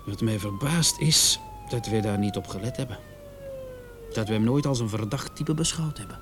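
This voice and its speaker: A man's voice: medium pitch, huge pitch swings, moderate pace, noisy background. An actor playing an insistent, suspicious younger policeman who defers to his superior in a Dutch radio play. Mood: convinced.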